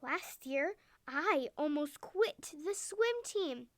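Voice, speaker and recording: A woman's voice: sits high, expressive, slow pace, no room sound, clean studio sound. A woman voicing a cheerful, reassuring eel who swims, with a kindly, confiding manner, in a bedtime story.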